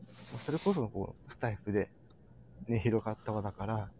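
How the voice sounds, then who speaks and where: A man with a somewhat low, creaky voice talks casually and earnestly to his listeners as a show host. He keeps pausing to find his words. There is some background noise on the live stream. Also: warm tone. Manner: halting, searching for words.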